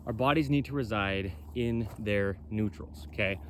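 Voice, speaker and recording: A man with a loud, carrying voice giving an impromptu explainer as a presenter, bold, emphatic and repetitive in how he talks. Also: fairly low pitch, moderate pace, slight background noise. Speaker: presenter for a clothing company. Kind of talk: impromptu explainer on body mechanics.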